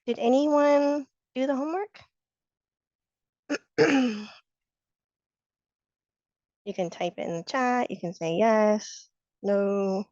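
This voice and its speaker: Brief: hoarse female instructor on a video call, patient and encouraging, dry dull sound. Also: on the high side, takes it slowly, long pauses, a little mellow, no echo. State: hoarse from a cold. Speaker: sign language instructor. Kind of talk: online class teaching.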